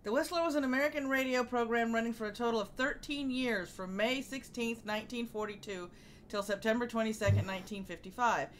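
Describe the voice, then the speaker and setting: A woman's voice: on the high side, brisk, a little hiss or hum. A woman hosting a casual show, explaining things and reading from notes. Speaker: podcast host.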